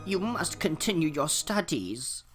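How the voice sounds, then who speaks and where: A woman playing a gentle, worried older aunt in a melodramatic comic-book reading. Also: low-pitched, sing-song, unhurried, soft background music.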